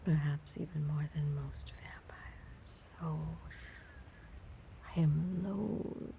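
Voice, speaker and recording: Woman acting a slow, creaky-voiced vampire mistress. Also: low-pitched, dark tone, slight background noise, full character voice. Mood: seductive, approving. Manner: slow, theatrical, breathy half-whispered delivery with drawn-out words. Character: ancient female vampire mistress, predatory, imperious, seductively menacing. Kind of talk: intimate scripted audio roleplay monologue.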